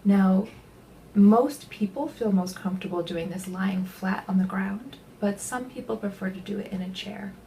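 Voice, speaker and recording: Soft, mellow woman guiding meditation. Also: medium pitch, slight background noise. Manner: gentle, soothing, unhurried instruction with pauses. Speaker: meditation guide. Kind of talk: guided body scan meditation.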